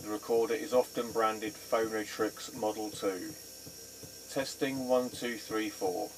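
A man giving a scripted demonstration in a plain, measured, matter-of-fact way. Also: lowish voice, steady tone. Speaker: presenter demonstrating vintage equipment. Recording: faint background noise.

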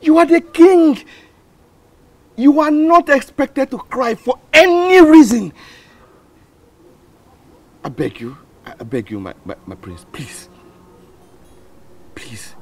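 Devoted palace attendant, unusually high voice, slow halting pleas, melodramatic. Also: male voice, heavily acted. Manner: pleading, halting, repeating words. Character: anguished, devoted male attendant pleading with his prince. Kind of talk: melodramatic film acting.

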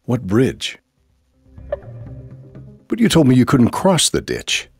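A man playing a stern military interrogator in a video game, questioning in a dry, formal, skeptical way with a husky voice. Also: mid-range voice, wildly swooping pitch, slow pace, soft background music, heavily acted. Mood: doubting.

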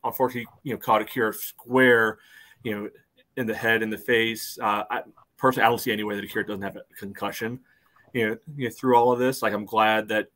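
A man's voice: lowish voice, steady tone, quick pace, a bit bright. A man co-hosting a casual soccer podcast over a video call, peppering his speech with "you know". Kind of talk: casual sports discussion.

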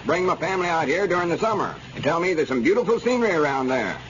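An actor playing a polite city stranger, proper and a little hesitant, in old radio drama style. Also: male voice, a little high, animated, quick pace, fairly bright tone. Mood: earnest, explaining. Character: polite, well-dressed city stranger asking directions. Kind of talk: 1930s-40s radio acting. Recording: muffled, noisy old radio recording.

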